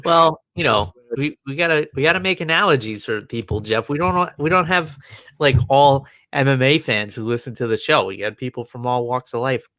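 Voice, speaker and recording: A man's voice: mid-range voice, moderate pace, fairly bright tone, no echo, rough recording. A man co-hosting a casual sports podcast, rambling with lots of fillers and restarts. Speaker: podcast co-host. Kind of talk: casual chat.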